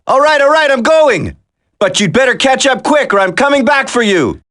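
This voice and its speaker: A man playing an earnest, steady special forces operative in a dramatic video game. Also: very high-pitched, moderate pace, no room sound, clean studio sound, exaggerated voice. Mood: resolute.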